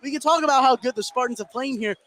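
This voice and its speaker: A man doing excitable live play-by-play of high school basketball in short running phrases. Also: fast, slight background noise. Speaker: play-by-play sports commentator.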